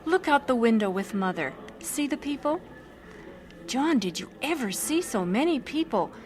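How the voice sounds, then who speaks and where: An actress playing a spirited missionary wife and harried young mother, expressive in a radio drama. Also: sits high, moderate pace. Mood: coaxing. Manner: expressive, scolding then coaxing.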